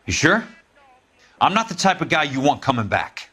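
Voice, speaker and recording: An actor playing a tough, hard-boiled American investigator in a video game, blunt and quietly threatening. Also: male voice, fairly high pitch, very animated, normal speed, husky, exaggerated voice. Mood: tough, probing.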